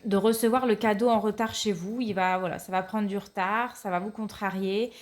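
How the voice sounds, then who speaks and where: A woman's voice: medium pitch, steady tone, moderate pace. A woman with a cold giving a weekly card reading to her viewers, hesitant and full of fillers. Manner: hesitant, many fillers, repeats "tout simplement". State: has a cold. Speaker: card reader.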